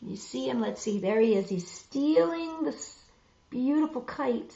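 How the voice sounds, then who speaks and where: A woman reading a picture book aloud to children, expressive and dramatic, pausing for asides to show the pictures. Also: high voice, gravelly. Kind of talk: animated children's story reading with asides to viewers. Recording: roomy, dull lo-fi web video.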